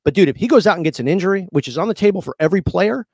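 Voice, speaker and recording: A man's voice: high-pitched, lively intonation, very fast, darkish tone, slightly rough, no echo, studio quality. An American man co-hosting a sports podcast in casual banter, blunt, slangy and opinionated.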